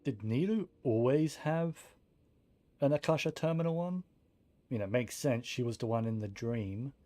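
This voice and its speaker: A man's voice: mid-range voice, lively intonation, moderate pace, dark tone, a little hiss or hum. A man giving casual gameplay commentary as a streamer, rambling and thinking aloud in an understated way. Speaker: game streamer.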